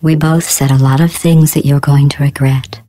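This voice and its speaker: A woman voicing a cold, sardonic female AI that runs test chambers in a video game. She speaks with deadpan, passive-aggressive mock politeness through a synthetic robotic filter. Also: low-pitched, moderate pace, dry recording, studio quality. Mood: cold, sarcastic. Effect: synthetic robotic vocal filter.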